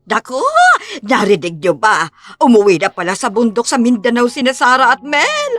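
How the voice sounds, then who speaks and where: A woman's voice: fairly high pitch, sing-song, moderate pace, a bit bright, faint music behind. Woman doing a bragging snobby auntie, voice jumps all over, mocking. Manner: mocking, gloating. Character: boastful, snobbish middle-aged aunt.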